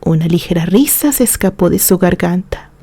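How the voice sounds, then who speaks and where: A woman reads a romantic story aloud as a narrator, expressive and deliberate, with dramatic pauses. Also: on the low side, dry recording.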